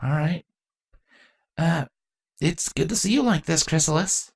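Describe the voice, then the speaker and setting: Dude doing a nervous bug king, pitched way up, stammery and awkward, fantasy story character. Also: creaky, husky, full character voice. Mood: awkward, friendly. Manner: hesitant, halting, awkward. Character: awkward, sheepish young male changeling king.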